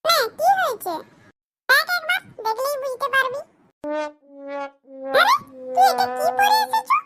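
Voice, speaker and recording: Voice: very high-pitched, very animated, a little slow, bright, forceful tone, faint music behind, exaggerated voice. An actor voicing a cheeky, bickering young villager in a comedy cartoon. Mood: teasing. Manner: teasing, scolding, bickering. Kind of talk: comic cartoon dialogue in village dialect.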